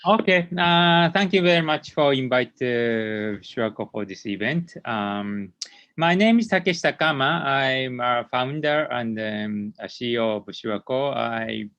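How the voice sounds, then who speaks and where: A man from Japan with a Japanese accent presents slides as a company founder in an online meeting, speaking haltingly with many hesitant fillers. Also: medium pitch, a bit bright, no room sound. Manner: halting, hesitant delivery with frequent long fillers. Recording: dull, lo-fi online meeting audio.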